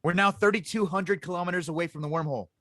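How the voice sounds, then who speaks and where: A man's voice: high-pitched, quick pace, pushed and bright, dry recording. Man playing a dry-sounding, earnest young inventor. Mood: determined, tense. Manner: urgent, insistent. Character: earnest, stubborn young male inventor in space. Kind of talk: audio drama table read.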